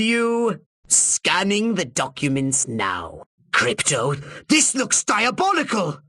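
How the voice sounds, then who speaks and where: A man playing a pompous, sarcastic, irritable alien commander in a video game, with a shrill raspy voice and theatrical delivery. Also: bright, forceful tone, full character voice. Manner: pompous, haughty, melodramatic, sarcastic. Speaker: mission-briefing commander.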